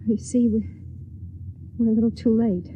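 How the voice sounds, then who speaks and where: An actress playing an anxious, desperate young wife in a 1950s radio drama, speaking hesitantly and wistfully. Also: female voice, fairly high pitch, steady tone, mellow, slight background noise, lightly acted. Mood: resigned, anguished. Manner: hesitant, halting. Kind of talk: 1950s radio drama acting, with second-person narration. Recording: tinny 1950s radio recording, music behind.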